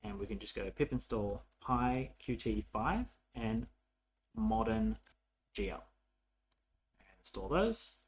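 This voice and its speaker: A man's voice: medium pitch, animated, takes it slowly, vocal fry, pauses often. A man narrating a casual coding tutorial as an instructor, informal and thinking aloud as he works.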